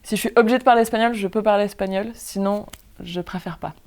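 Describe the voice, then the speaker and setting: A woman's voice: medium pitch, steady tone, a little mellow. A young woman born in Spain, an improv performer, answering interview questions casually with many fillers. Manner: hesitant, many fillers, quick asides. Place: outdoors at a campsite lake.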